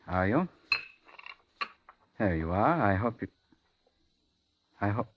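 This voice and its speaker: An actor playing a quiet, sinister diner counterman in a 1950s radio thriller, terse and blankly polite. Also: fairly low pitch, sing-song, slow. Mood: guarded, flat. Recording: dull 1950s radio recording, faint noise.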